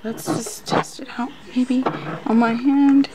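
A fairly high-voiced woman mutters through an ASMR student roleplay at an unhurried pace. She sounds flustered and unsure, repeating words and dropping into whispers. There is clear noise and clicking on the recording. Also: flat delivery. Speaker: ASMR roleplayer acting as an unprepared student taking a quiz.

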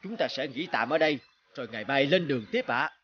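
A man's voice: medium pitch, animated, quick pace, fairly bright tone, dull audio, slight background noise, close to an everyday voice. A man voicing a loyal royal general, polite, respectful and formal, in a cartoon folk tale.

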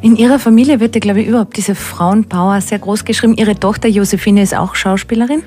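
A woman's voice: mid-range voice, steady tone, quick pace, warm tone, faint music behind. A woman interviewing a guest on the radio, asking attentive, prompting questions.

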